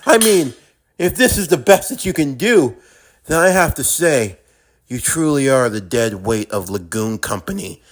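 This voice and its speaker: A man's voice: medium pitch, animated, unhurried. A man playing a defiant, bitterly sarcastic young man in an intense anime-style drama, with a profane, taunting, emphatic delivery. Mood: mocking.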